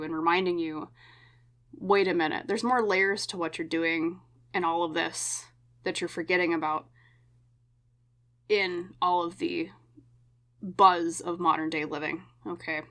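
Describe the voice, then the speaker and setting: A woman with a lowish voice leads a tarot reading for her viewers. Her voice is slightly scratchy, and she speaks gently and reassuringly, often checking in with a soft "okay?". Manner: gentle, slow, reassuring, with many fillers and tag questions. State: scratchy voice from smoke. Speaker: tarot reader. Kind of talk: pick-a-card tarot reading.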